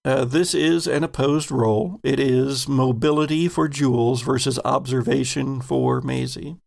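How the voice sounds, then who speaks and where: A man runs a tabletop game with deliberate, suspenseful narration. His mid-range voice is full of vocal fry and he takes his time. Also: medium pitch, steady tone, darkish tone. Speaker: game master.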